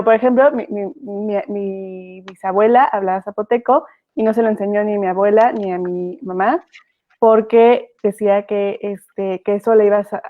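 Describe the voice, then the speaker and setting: Female panel host, Mexican accent, middle register, hesitant conversational phrasing, narrow telephone-like call sound. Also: mid-range voice. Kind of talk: online panel discussion. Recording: thin phone-like video call audio.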